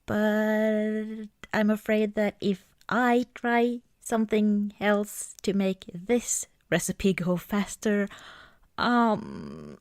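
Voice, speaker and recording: Slow, soft-spoken woman in intimate ASMR roleplay. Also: mid-range voice, no echo, studio quality. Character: affectionate, cozy girlfriend baking at Christmas. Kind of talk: ASMR girlfriend roleplay, intimate casual chat.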